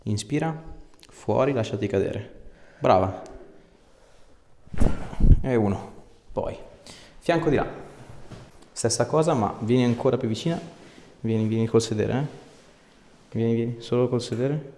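A man's voice: mid-range voice, a little slow, long pauses, dark tone. A man, a chiropractor giving a patient informal, reassuring hands-on instructions. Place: small treatment room, indoors. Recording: echoey small room with background noise.

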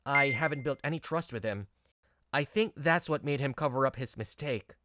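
An actor playing a mild, earnest young male office worker in a manga story dub, speaking in a sincere, measured way. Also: medium pitch, bright, forceful tone, natural voice. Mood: apologetic. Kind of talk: scripted manga story dub, narration and dialogue.

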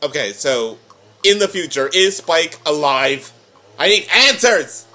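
Excitable young man reacting, bright voice. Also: fairly high pitch, a little slow. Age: young adult. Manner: excitable, exclaiming. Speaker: reaction video host. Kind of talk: casual reacting commentary. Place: living room, seated on a couch.

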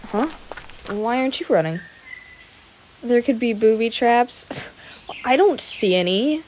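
Woman acting a high-voiced goofy young male adventurer. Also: animated, a little slow, background noise. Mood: playful, excited. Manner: bumbling, playful, stammering. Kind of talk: audio roleplay addressed to the listener.

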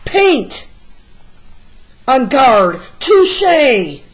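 A woman's voice: high-pitched, very animated, drawn-out words, slightly rough, voice pushed up, exaggerated voice. Woman voicing a plucky, theatrical storybook dog hero. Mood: bold. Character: masked dog artist hero, male, plucky and playful. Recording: slightly muffled, lo-fi sound.

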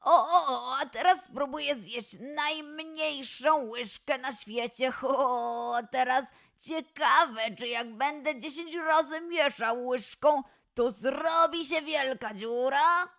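A woman voicing a playful, dawdling little boy lion cub in a children's audio story, with a whiny, expressive manner. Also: high voice, slow pace, vocal fry, heavily acted. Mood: dreamy, playful.

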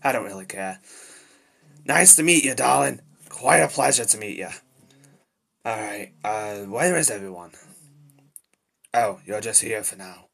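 A man performs a short-tempered male demon devil in a homemade cartoon-style monologue. The voice is rough and raspy with a hard, forceful edge. He blurts and mutters, irritable and easily flustered. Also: fairly low pitch, lively intonation, measured pace, pauses often, full character voice. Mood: breezy, greeting. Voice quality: raspy, gravelly. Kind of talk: amateur character voice acting, improvised monologue.

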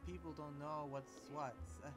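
Man playing a halting, hesitant young researcher. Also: on the high side, steady tone, a bit bright, lightly acted. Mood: explaining, uneasy. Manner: halting, hedging. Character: hesitant, trusting young male demon researcher in village. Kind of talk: improvised game roleplay dialogue. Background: background music.

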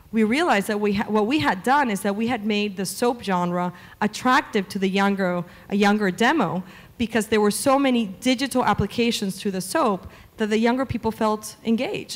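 A woman in her thirties from Venezuela, a media executive answering onstage interview questions into a handheld mic, fluent with frequent "um" fillers. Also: mid-range voice. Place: conference stage before a seated audience. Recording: reverberant conference hall.

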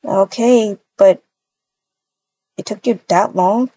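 A woman's voice: medium pitch, slow, warm tone. A woman playing an impatient young mother in a casual improvised game roleplay, with a slangy, grumbling way of talking. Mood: annoyed. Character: impatient young mother, complaining to her partner. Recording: dull lo-fi game capture, faint noise.

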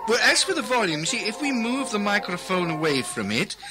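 An actor with an English accent playing a brisk, jovial man in charge of a 1920s wireless team in a radio play. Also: sits high, very animated, music in the background. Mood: confident. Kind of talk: period radio play acting.

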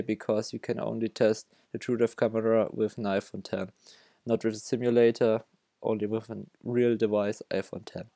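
A man's voice: on the low side, warm tone, no echo, studio quality. A young man from Germany with a German accent, talking through a coding tutorial over his screen, often stopping to restart his sentences. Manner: halting, many restarts and self-corrections. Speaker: developer tutorial host.

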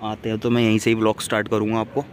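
A man's voice: fairly low pitch, monotone, very fast. A young man vlogging casually outdoors on a phone, chatting upbeat to his viewers. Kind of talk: casual vlog to camera. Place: outdoors by a road at dawn. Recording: loud road and traffic noise. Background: traffic noise.

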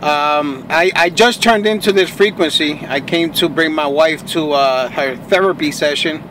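A man's voice: a little high, measured pace, fairly bright tone, low-quality recording. A plain-spoken man operating a ham radio from inside a car, chatting in operator style. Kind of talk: ham radio contact chat. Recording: faint noise inside a car.

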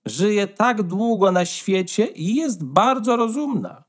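A man's voice: high-pitched, expressive, slow pace, heavily acted. A man playing a bossy, smug female hen who lectures condescendingly in a fairy-tale radio play. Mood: sarcastic.

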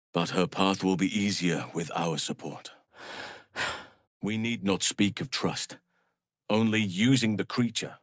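An actor playing a calm, earnest and wise male druid healer, protective in temper, for a video game. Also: on the low side, no echo, studio quality. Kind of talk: video game character voice lines.

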